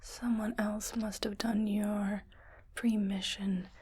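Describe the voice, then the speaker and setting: A woman playing a calm, reassuring ship's doctor in a science fiction ASMR roleplay, speaking in a breathy whisper with a measured, clinical manner. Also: medium pitch. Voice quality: breathy, mostly unvoiced whisper. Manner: slow, measured, clinical and soothing.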